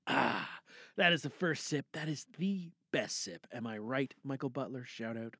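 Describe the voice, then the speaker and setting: A man's voice: mid-range voice, moderate pace, gravelly, pushed and bright, breathy edge, dry recording, studio quality. A man hosting a podcast in a casual, chatty style with theatrical announcing and self-deprecating asides. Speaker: podcast host. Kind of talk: casual chatty show introduction. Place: home recording booth.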